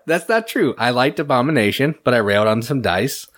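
A man's voice: mid-range voice, expressive, brisk, a bit bright. A man hosting a podcast, chatting casually with laid-back, joking grumbles. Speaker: podcast host. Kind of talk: casual chat.

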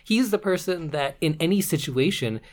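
Very deep-voiced Canadian woman. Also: expressive, normal speed, a little mellow. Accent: Canadian English. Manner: frank, swears casually. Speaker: podcast co-host. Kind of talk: casual podcast chat.

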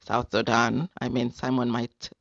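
A woman's voice: extremely low pitch, level tone, a little slow, dry recording, muffled recording. A woman speaking casually and encouragingly in a group chat, emphatic and repeating words to press her point.

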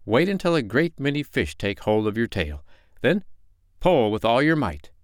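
A man's voice: mid-range voice, sing-song, normal speed, no echo, clean studio sound, pitched above the performer's own voice, close to an everyday voice. A man voicing a sly, tricky male fox in a bedtime story, speaking in a coaxing way.

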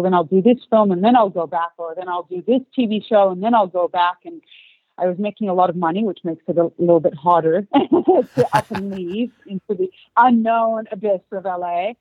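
A woman's voice: medium pitch, a little fast, no room sound. A woman in her forties, raised in Australia, giving long, chatty answers with light laughs in a podcast interview. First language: English. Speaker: actress guest. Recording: tinny phone-like line.

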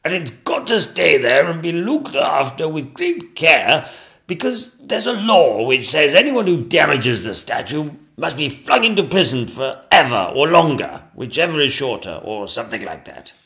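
Guy doing a fussy old-fashioned fairytale king, rambly, pitched up, emphatic. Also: very animated, normal speed, vocal fry, bright, forceful tone, full character voice. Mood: exasperated. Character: fussy, resigned, vague King in a comic tale.

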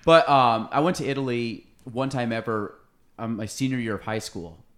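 A man's voice: mid-range voice, moderate pace. A man hosting a podcast, chatting casually with a guest in a rambling, joking way full of fillers. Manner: rambling, many "like" fillers, jokey. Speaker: podcast host. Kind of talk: casual interview chat.

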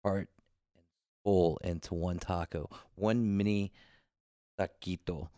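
Deep, smooth man chatting casually. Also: fairly even intonation, slow pace, dark tone, no room sound. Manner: laid-back, rambling storytelling with frequent restarts. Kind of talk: casual chat.

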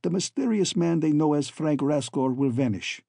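A man's voice: a little high, lively intonation, moderate pace, creaky, warm tone, no echo, studio quality. A man voicing a falsely friendly, scheming hunchback villain in a story, oily and ironic, with stilted foreign-sounding phrasing. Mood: boastful, smug.

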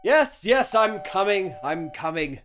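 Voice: mid-range voice, very animated, slow pace, a bit bright. An actor playing a tormented, secretive husband in an old-time radio drama table read, pleading and melodramatic. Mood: desperate, tender.

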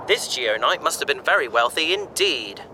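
A bright, eager bookish space explorer. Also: male voice, mid-range voice, lively intonation, moderate pace, a little hiss or hum. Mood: explaining, enthusiastic. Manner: explanatory, chatty, enthusiastic. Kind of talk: sci-fi audio drama acting.

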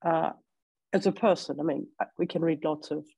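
Husky, gravelly woman. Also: sits low, brisk, dark tone, no echo. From Ukraine. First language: Russian. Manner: hesitant, many restarts and fillers. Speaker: guest. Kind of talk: interview conversation.

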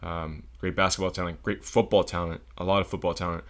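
A young man from South Florida with a very deep, low voice guests on a casual podcast. He talks thoughtfully and hesitantly, filling pauses with ums as he works out what to say. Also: level tone. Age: young adult. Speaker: podcast guest, engineering student.

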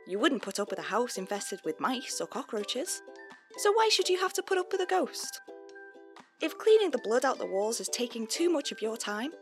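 A chirpy female announcer sells hard in a spoof commercial. Her fairly high voice is persuasive, over prominent plucked ukulele music. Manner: salesy, persuasive. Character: chirpy female ghost pest-control advert announcer. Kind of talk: parody commercial read.

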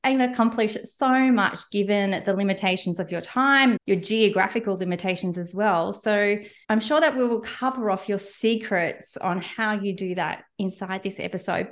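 Woman podcast host, mid-pitched, effusive, enthusiastic interviewer.

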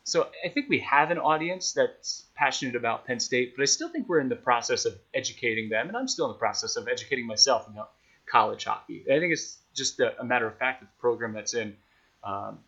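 Male sports announcer as podcast guest, mid-pitched, conversational and considered, slightly muffled lo-fi sound. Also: fairly quick, pushed and bright. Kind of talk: casual conversational interview answers.

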